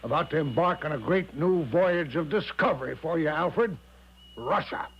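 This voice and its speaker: High-voiced, boastful radio-drama major. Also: male voice, animated, moderate pace, husky. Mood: encouraging, grand. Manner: grandly self-assured, coaxing showman's patter. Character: eccentric, boastful older American confidence-man major, breezily reassuring. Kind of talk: 1970s radio drama acting. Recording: dull, noisy 1970s radio recording.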